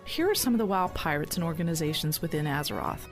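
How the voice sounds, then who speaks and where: A woman's voice: low voice, animated, fast. A woman playing a hearty pirate captain, hosting a podcast with scripted narration in pirate slang. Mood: hearty, informative. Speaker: podcast host. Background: music.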